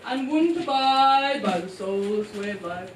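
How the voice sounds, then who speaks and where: A woman voicing an excitable, defiant magic mushroom puppet with theatrical, drawn-out delivery. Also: mid-range voice, slight background noise, natural voice. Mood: indignant. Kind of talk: puppet show acting. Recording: distant, echoey live show bootleg with music.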